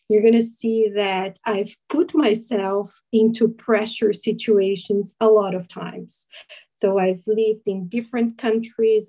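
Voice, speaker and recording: Woman, Brazilian accent, forties, warm dark tone, steady explaining, video call audio. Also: mid-range voice, low-quality recording. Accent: Brazilian Portuguese. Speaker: guest speaker. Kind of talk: presentation to a video meeting.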